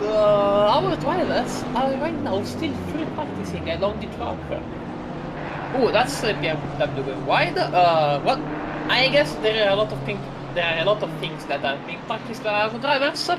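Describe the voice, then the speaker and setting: A woman's voice: mid-range voice, big pitch swings, moderate pace. A woman with an Italian accent giving chatty live play-by-play commentary on a sim race, with many fillers and restarts. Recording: loud racing car engine noise behind. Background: loud game car engines.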